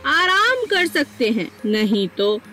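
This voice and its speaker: A woman voicing a sly female fox for an animated story, flattering and wheedling in a persuasive, treacherous way. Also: high voice, slow, faint music behind, full character voice. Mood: coaxing, scheming. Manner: wheedling, persuasive, repeats pleas.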